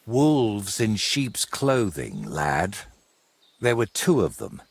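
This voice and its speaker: An actor playing a wry, courteous, middle-aged medieval painter in a video game, with a husky voice and a wordy, theatrical way of talking. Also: male voice, on the low side, huge pitch swings, slow, dry recording, exaggerated voice. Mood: aggrieved. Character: wry, wordy ageing medieval painter, robbed but polite. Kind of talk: game cutscene dialogue.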